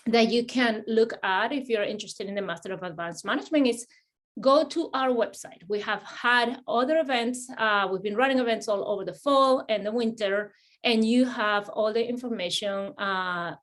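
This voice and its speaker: A middle-aged woman with a Spanish accent presents an online information session. Her voice sits a little high. She talks in a friendly way, with lots of "uh" and "um" and sentences she starts over. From Spain. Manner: friendly, many fillers and restarts. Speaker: assistant dean hosting an admissions webinar. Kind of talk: webinar presentation.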